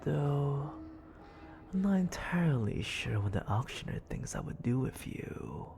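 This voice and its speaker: A man voicing a cruel, condescending demon lord in an ASMR audio roleplay, murmuring slow taunts at the listener with a breathy, creaky voice. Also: mid-range voice, big pitch swings, darkish tone, slight background noise. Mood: coolly menacing. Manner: condescending, taunting, languid and deliberate. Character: smug, menacing male demon lord, cruel and toying.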